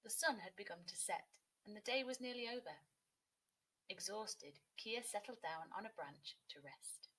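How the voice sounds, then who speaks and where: A woman teacher narrating a children's story, expressively and clearly phrased for young listeners. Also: mid-range voice, moderate pace, a bit bright, rough recording.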